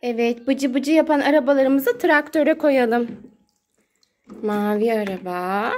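High-pitched, slow-talking mother playing. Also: a little mellow. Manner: sing-song baby talk with repeated words. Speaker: mother playing with her young child. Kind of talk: playful child-directed play narration. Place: indoors at home beside water play tubs. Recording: noisy home phone recording with clicks.

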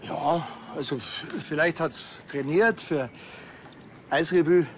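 Casting: sardonic Bavarian cop, slightly high male voice, deadpan, TV comedy, faint noise behind. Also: slow. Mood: matter-of-fact. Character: dry, sardonic, unbothered small-town policeman. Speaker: actor in a police comedy series.

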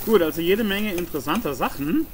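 Lively-pitched man casually commenting on gameplay. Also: brisk, a little hiss or hum. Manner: informal, thinking aloud. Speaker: let's play host.

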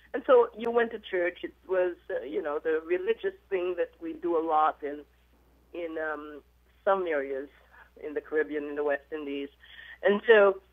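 A middle-aged woman from Guyana, a minister calling in to a radio interview and telling her life story in a reflective way. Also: on the low side. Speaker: guest, minister and author. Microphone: telephone. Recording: tinny phone line.

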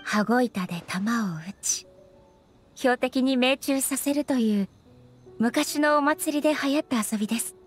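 A woman voicing a dignified, gentle female ruler in a Japanese anime game, speaking politely and formally with measured composure. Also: sits high, soft background music. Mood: serene, nostalgic.

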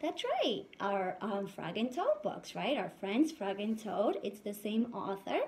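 A woman in her thirties, a first-grade teacher reading aloud to young children and asking them questions in an animated, instructive way. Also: mid-range voice, unhurried, slight background noise. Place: indoors in a home room.

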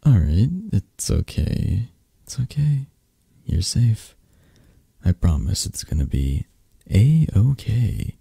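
A man acts out a flirtatious, possessive mafia boss in an ASMR roleplay. His voice is very low and dark, with a gravelly fry. He murmurs to his lover in a sleepy, teasing, soothing way. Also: very animated, slow, no room sound, heavily acted. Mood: soothing, tender. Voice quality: breathy, husky. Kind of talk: intimate ASMR romance roleplay.

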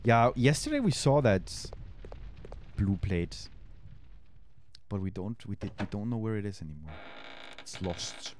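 A man's voice: lowish voice, sing-song, unhurried, pauses often, background noise. A man in his thirties, a native Spanish speaker with a German accent, chatting on a headset mic while streaming a game, thinking aloud and answering chat. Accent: German-accented English. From Austria. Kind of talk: casual let's-play commentary. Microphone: headset mic at a desk.

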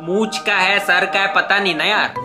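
A man's voice: sits high, fairly even intonation, normal speed, over music. Young man joking through a food vlog. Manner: joking, sarcastic banter. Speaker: food vlogger. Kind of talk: comic food review vlog. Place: hotel room.

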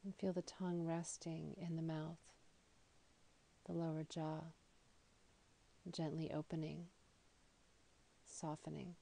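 Low, creaky woman guiding meditation. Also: flat delivery, rough recording. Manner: soothing, slow and deliberate with soft, breathy phrase endings. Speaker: yoga nidra guide. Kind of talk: guided meditation.

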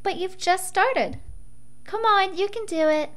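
A woman's voice: very high voice, measured pace, voice pushed up. A woman voicing a cheerful, enthusiastic young girl in a visual novel, bubbly and encouraging, speaking of herself in the third person. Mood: coaxing.

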